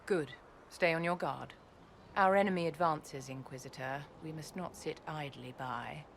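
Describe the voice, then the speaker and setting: A poised, low-pitched noblewoman in a video game. She is cool, measured and condescending, commanding in a haughty way, with faint ambience behind her. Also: gravelly, slight background noise. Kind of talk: video game dialogue acting.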